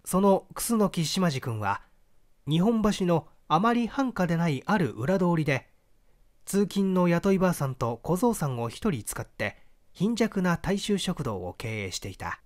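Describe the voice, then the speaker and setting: A man reading literary fiction as scripted audiobook narration in an expressive storytelling manner. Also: high voice, unhurried. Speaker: audiobook reader.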